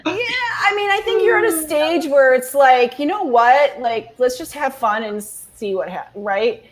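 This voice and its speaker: A woman's voice: high voice, animated, unhurried. A woman in her forties, a guest author chatting casually, rambling with many restarts and fillers. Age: 40s. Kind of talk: casual video-call chat.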